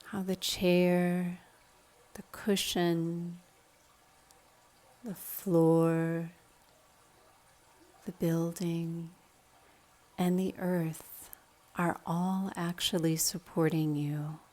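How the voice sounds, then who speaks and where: A woman leading a guided meditation in a calm, measured, instructional manner. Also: on the low side.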